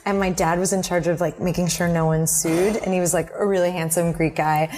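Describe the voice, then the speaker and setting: A woman's voice: lowish voice, fairly even intonation, fairly quick, darkish tone, a little hiss or hum. A young woman from Connecticut, a fashion designer chatting casually as a guest and laughing often. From Connecticut, United States. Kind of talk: casual chat.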